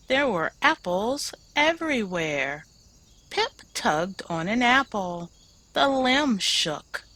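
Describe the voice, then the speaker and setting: A woman teacher reading a children's picture book aloud in an expressive, deliberate way, leaning on key words. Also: medium pitch. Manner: deliberate, dramatized phrasing with emphasized words.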